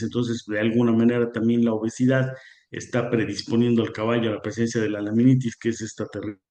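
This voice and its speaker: A man's voice: on the low side, warm tone. Hesitant man with a roomy echo. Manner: hesitant, many filler sounds and restarts. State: slightly sore throat. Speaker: guest lecturer on equine nutrition. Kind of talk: online conference talk.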